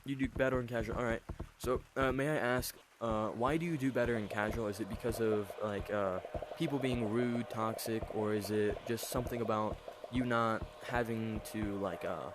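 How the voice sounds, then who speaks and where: A thirteen-year-old boy with an unusually deep voice, hosting a casual interview with fillers and long rambling questions. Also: fairly even intonation, moderate pace, noisy background. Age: 13, teenage boy. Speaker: host interviewing players.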